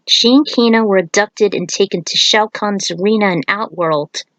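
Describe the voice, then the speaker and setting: A woman narrates a written story in a mid-pitched voice. The sound is dry, with very little room around it, and she occasionally trips over a word as she reads. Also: no room sound, poor audio. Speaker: narrator reading own fan fiction.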